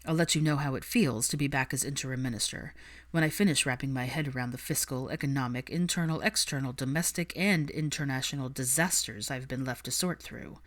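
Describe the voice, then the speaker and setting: A woman voicing a weary, authoritative male government minister in a narrated story, speaking formally, firmly and with measured phrasing. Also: rumbling low, voice pushed down.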